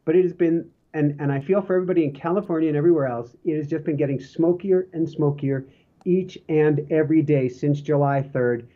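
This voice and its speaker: A male podcast host with a hoarse voice, chatting casually and rambling with fillers and restarts. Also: on the high side, a little fast, dull audio. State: hoarse, coughing from smoke.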